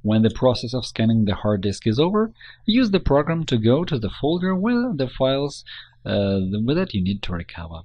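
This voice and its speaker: Guy doing a how-to voiceover, kinda husky, pitch moves around a lot, super dry recording. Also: big pitch swings, moderate pace, dry recording, dull audio. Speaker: tutorial narrator. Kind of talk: scripted instructional narration.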